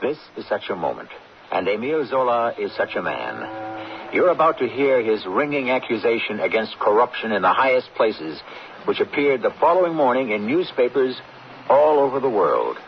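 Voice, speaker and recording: A man hosting an old-time radio drama, delivering scripted narration in a portentous, measured, theatrical manner. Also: mid-range voice, sing-song. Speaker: host of a radio drama series. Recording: dull, noisy old radio broadcast.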